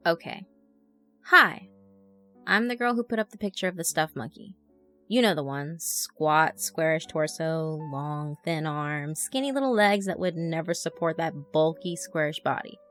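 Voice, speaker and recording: A woman narrating a horror story as a young female blogger in her twenties, conversational, wry and expressive, telling of being haunted. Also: low voice, measured pace. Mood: chatty, uneasy. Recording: music under the voice. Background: background music.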